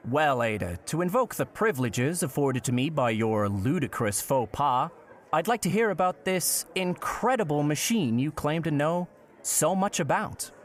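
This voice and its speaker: An actor playing a courteous, curious, old-fashioned gentleman traveler in a period audio drama, with polite and playfully florid speech. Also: fairly high pitch, expressive, moderate pace, close to an everyday voice. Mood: charming, curious.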